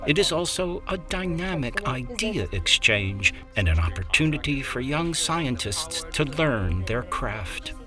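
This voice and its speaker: A narrator reading a scripted documentary in a measured, expressive delivery. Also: medium pitch. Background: music bed.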